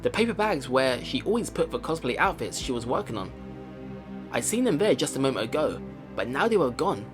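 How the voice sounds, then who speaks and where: A man's voice: mid-range voice, sing-song, fairly quick. A man hosting a let's play, reading game narration aloud and reacting casually. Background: game music.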